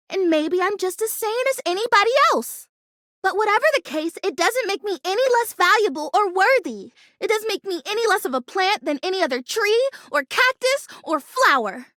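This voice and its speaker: A sweet little living plant from a video game. The voice is extremely high and swoops around with feeling, in a dry, close studio sound. The talk is folksy, earnest and full of wholesome exclamations, with a proud sense of self. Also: female voice, bright, forceful tone, dry recording, full character voice. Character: sweet, excitable, sentimental living houseplant, female, proudly self-assured. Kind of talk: animated video game character dialogue.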